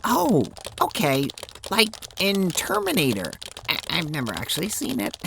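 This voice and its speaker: A woman's voice: mid-range voice, wildly swooping pitch, measured pace, vocal fry, a bit bright, heavily acted. A woman playing a friendly, naive teenage girl in an audio drama, earnest and a little hesitant, on a noisy home recording. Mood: puzzled, friendly. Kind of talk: audio drama character dialogue.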